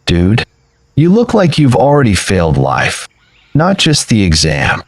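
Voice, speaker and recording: A man's voice: on the low side, huge pitch swings, unhurried, dry recording, heavily acted. A man playing a joking, dramatic schoolboy friend in a cartoon story, teasing and slangy.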